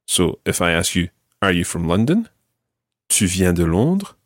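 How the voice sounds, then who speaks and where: A man hosting a podcast language lesson, explaining patiently and clearly. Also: deep voice, big pitch swings, measured pace, no echo, studio quality. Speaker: language teacher and podcast host.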